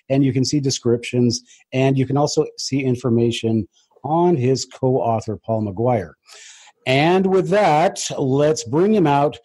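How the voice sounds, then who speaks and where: A man hosting a podcast in a conversational way, with a somewhat halting delivery. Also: medium pitch, warm tone, husky, no room sound. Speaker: podcast host.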